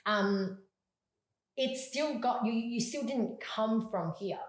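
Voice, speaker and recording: A woman's voice: on the high side, takes it slowly, roomy sound, poor audio. A woman co-hosting a podcast, asking questions in an upbeat, encouraging way. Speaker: podcast co-host. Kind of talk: casual interview chat.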